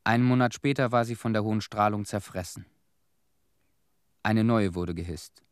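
An actor playing a haunted disaster cleanup veteran in a radio play, telling his story in short, measured, somber phrases. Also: on the low side, clear, resonant tone, no room sound, studio quality, lightly acted. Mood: somber, bitter. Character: haunted Chernobyl cleanup veteran, a man bearing witness.

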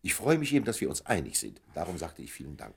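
An actor playing a discreet, dryly evasive gentleman in a German radio play, speaking formally and with measured reserve. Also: extremely low pitch, huge pitch swings, creaky, breathy edge, heavily acted. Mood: guarded, dry.

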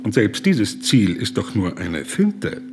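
An actor playing an earnest, indignant German humanitarian, speaking formally, deliberately and with moral urgency in a radio play. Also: male voice, fairly low pitch, very animated, noisy background. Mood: grave indignation.

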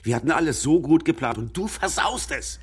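A man's voice: fairly high pitch, expressive, fairly quick, breathy edge. An actor playing a bitter, exasperated male accomplice who scolds bluntly and curses in a German radio crime drama. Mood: angry, fed up. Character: bitter, exasperated war-veteran accomplice, a gruff man.

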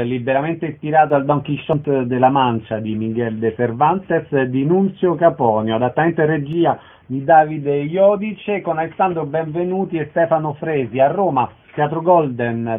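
Man, radio host, raised pitch, phone-like band, listings announcer delivery. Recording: narrow phone-like radio sound.

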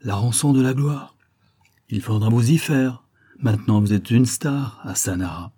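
A man playing a big, gallant gentle man. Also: medium pitch, unhurried, husky. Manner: courteous, gallant. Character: huge, gentle, gallant African plantation man.

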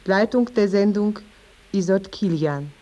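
A woman's voice: mid-range voice, a little slow, dark tone, muffled recording. A woman radio announcer reading scripted credits in a formal manner.

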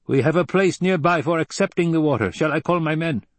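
A man's voice: on the high side, a little fast, dry recording, voice pushed up, natural voice. A man voicing a proud male desert warrior in an audiobook, blunt and matter-of-fact, eager about battle. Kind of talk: audiobook character dialogue.

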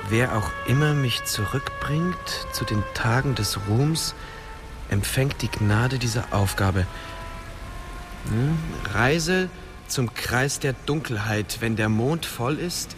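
A man's voice: mid-range voice, natural voice. An actor playing a clever, self-assured young man in a fantasy radio drama, speaking confidently and articulately. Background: clear music.

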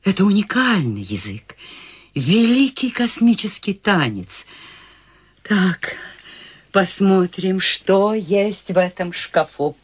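A woman's voice: medium pitch, very animated, slow, slightly rough, heavily acted. A coaxing eccentric old lady. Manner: warm, coaxing, encouraging. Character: eccentric life-loving old woman. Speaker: Maude, lead role in radio play. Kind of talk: radio play acting.

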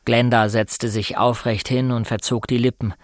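A man acting as first-person narrator in a literary radio play, recalling a bullied boyhood in a measured, matter-of-fact way. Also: mid-range voice, little pitch movement, dry recording, lightly acted. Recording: dry, close studio sound.

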